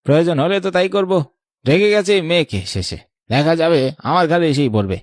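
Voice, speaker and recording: A man's voice: fairly high pitch, big pitch swings, fast, no room sound, clean studio sound. A man voicing a greedy, selfish adult cousin in a cartoon, curt and dismissive. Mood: callous, irritated. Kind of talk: cartoon voice acting.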